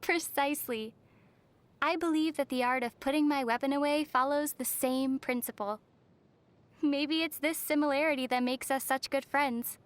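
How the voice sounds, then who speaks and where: A young wandering adventurer girl from a fantasy video game, acted by a woman. Her voice is high and youthful. She speaks softly and with composure, with a wistful temper and a dry, teasing edge. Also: fairly even intonation, moderate pace, dry recording, lightly acted. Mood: calm, reflective.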